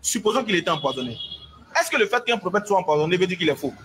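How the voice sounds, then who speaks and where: A man with a fairly high voice pressing his case in a heated debate. He repeats his points insistently and keeps asking to be heard. His argument comes through as a replayed clip. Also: very animated, rapid-fire, a bit bright, a little hiss or hum. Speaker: debater defending Islam.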